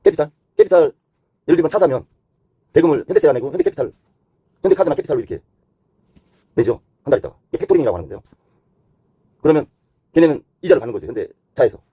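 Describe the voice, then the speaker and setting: Rapid-fire husky man, explaining. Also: a little high, lively intonation, mellow, no echo. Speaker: host giving economic commentary. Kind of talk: unscripted explanatory commentary on economy and finance.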